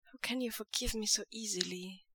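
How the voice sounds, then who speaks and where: A woman's voice: mid-range voice, fairly quick, pushed and bright, breathy edge, no room sound. A woman voicing an emotional, guilt-ridden young man who sounds tearful, near sobbing and pleading. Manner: pleading and raised.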